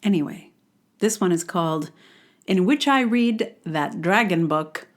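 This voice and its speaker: A woman's voice: lowish voice, expressive, a little slow. A woman hosting a podcast, reading her own book-review blog aloud in a wry, sardonic way with exaggerated emphasis. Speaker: podcast host.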